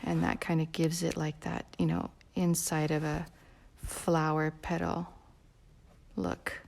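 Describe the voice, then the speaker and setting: A woman with a low, gravelly voice talks viewers through a drawing step by step. She rambles easily with plenty of fillers and slips into soft near-whispers as she concentrates. Her level drifts a little as she leans toward and away from the mic. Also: flat delivery, takes it slowly. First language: not Portuguese. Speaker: drawing instructor. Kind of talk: live follow-along drawing tutorial.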